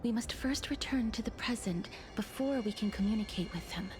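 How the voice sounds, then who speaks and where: An actress playing a calm, gentle, solemn young priestess in a fantasy game, speaking softly and politely in a measured way. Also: female voice, fairly high pitch, noisy background, close to an everyday voice. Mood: solemn, pensive. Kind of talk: video game story dialogue.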